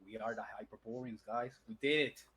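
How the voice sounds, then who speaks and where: A man joking loudly in a casual online voice chat, laughing often and putting on mock-dramatic lines. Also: medium pitch, steady tone, fairly quick, breathy edge. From Puerto Rico. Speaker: debate participant.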